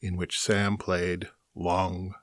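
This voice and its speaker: Slow, low-voiced man introducing clips. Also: little pitch movement, very noisy. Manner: plain, measured introductions. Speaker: host. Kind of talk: memorial introduction.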